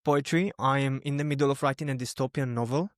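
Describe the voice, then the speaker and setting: A man's voice: mid-range voice, steady tone, quick pace, dry recording, clean studio sound. A young man from Greece, born in Albania, speaking English as a non-native language, chatting casually as a podcast host. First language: not English, which is a third language. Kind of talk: casual personal conversation.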